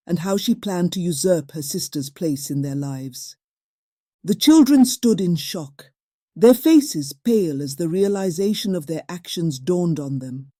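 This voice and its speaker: Dark, mellow female storyteller. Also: on the low side, no echo, studio quality. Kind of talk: scripted folktale narration.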